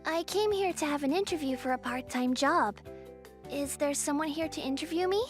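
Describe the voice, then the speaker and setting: A polite, earnest college student from a romantic comedy cartoon played by a woman. She speaks in a high, young voice, with light music underneath. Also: normal speed, natural voice. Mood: polite, nervous. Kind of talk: dubbed romantic comedy manga acting.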